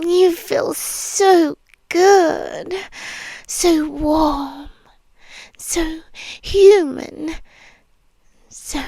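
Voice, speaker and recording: Slow whispering British demon girlfriend played by a woman. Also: sits high, no echo, heavily acted. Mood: adoring, possessive. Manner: slow, breathy, drawn-out, soothing yet possessive. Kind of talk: ASMR roleplay, intimate soft whispering. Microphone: close microphone, dry.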